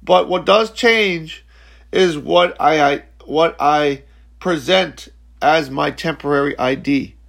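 A man in his forties giving a slow, reflective spiritual teaching talk, halting and repeating words, often checking in with "okay?". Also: a little high, creaky, fairly bright tone. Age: 40s. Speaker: spiritual speaker.

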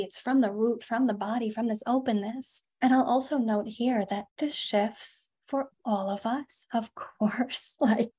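A woman in her thirties speaking as a podcast guest, in a soft, flowing way with many fillers and drawn-out words. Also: fairly high pitch. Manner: soft, emphatic, lots of "like" and "you know", drawn-out words. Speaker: podcast guest, feminine embodiment coach. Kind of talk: flowing spiritual podcast talk.

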